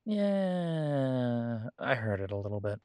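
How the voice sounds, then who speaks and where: A man playing a conflicted, protective werewolf pack leader in an intimate audio roleplay, speaking hesitantly and reflectively with halting pauses. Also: medium pitch, sing-song, darkish tone, clean tone, dry recording. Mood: wry, musing.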